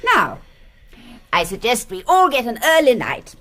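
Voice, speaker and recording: An actress playing an elderly, genteel gang mastermind in a radio drama, prim, precise and commanding. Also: female voice, on the high side, wildly swooping pitch, a little slow, bright, forceful tone, exaggerated voice. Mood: genteel, composed. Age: older.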